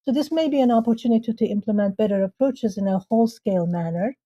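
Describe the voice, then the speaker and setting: A woman's voice: a little high, fast, mellow, highs cut off. A woman from Turkey, a university leader and guest, answering thoughtfully with occasional restarts. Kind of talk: panel discussion answer.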